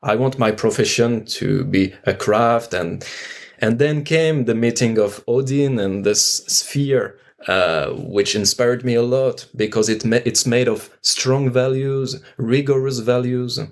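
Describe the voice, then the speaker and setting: A man's voice: medium pitch, unhurried. A man with a French accent, an actor being interviewed, speaking reflectively and searching for his words as he goes. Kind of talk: unscripted reflective discussion.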